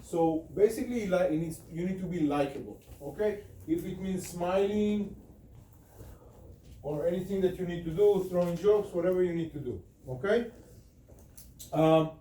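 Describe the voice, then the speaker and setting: A man's voice: sits high, unhurried. A man in his forties with an Israeli accent, coaching a sales team in a small office, pacing and gesturing as he talks. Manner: animated, talks with his hands while pacing. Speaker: sales trainer. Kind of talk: sales training session. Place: small office room with whiteboard. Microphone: far camera. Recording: echoey room from far off, faint hiss.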